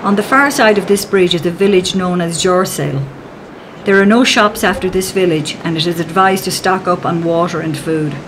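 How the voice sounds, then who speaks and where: A woman giving calm, measured, scripted narration for a travel documentary as a voice-over. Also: fairly low pitch, noisy background.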